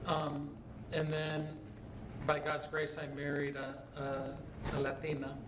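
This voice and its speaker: High-voiced bishop in an echoey hall. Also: male voice, completely flat delivery, slow pace. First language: English. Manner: jovial storyteller, asks "right?" often. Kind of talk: conference talk with Q&A, switching between Spanish and English. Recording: echoey hall, distant mic, background noise.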